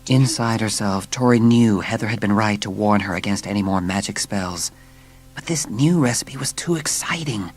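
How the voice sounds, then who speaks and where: A woman's voice: rumbling low, moderate pace, a little mellow. Woman narrator, some background noise. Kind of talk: scripted audiobook narration.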